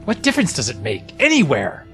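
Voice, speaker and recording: A man's voice: on the high side, wildly swooping pitch, brisk, sharp tone, soft background music, full character voice. An actor playing a weary, frightened husband and father in an audio drama, pleading and defensive. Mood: resigned, desperate.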